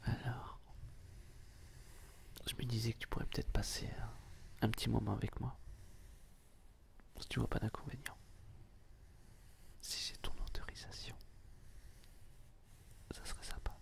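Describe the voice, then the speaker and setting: A man's voice: medium pitch, flat delivery, measured pace, long pauses. Guy doing asmr, whispery n crackly voice, playful teasing chat right at the listener. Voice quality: breathy whisper. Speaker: ASMR video host.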